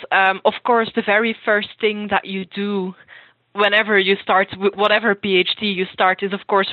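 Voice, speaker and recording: A woman's voice: medium pitch, no room sound. Woman on a tinny phone-like line. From Flanders, Belgium. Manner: hesitant with frequent ums and restarts. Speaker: lecturer in education. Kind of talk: interview answers.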